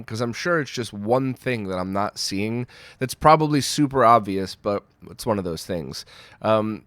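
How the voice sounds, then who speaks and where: A man's voice: medium pitch, a bit bright, no echo. A man with a cold and a nasal voice, hosting a casual retro gaming Q&A and rambling through answers with fillers. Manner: rambling, self-deprecating, with frequent fillers and restarts. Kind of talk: casual Q&A talk.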